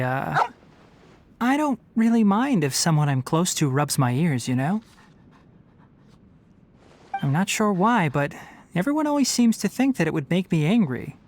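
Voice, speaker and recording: Fox-eared young game ranger, low register, very animated intonation, bookish, articulate, wry and teasing. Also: female voice, a little hiss or hum. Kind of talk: scripted game character voice lines.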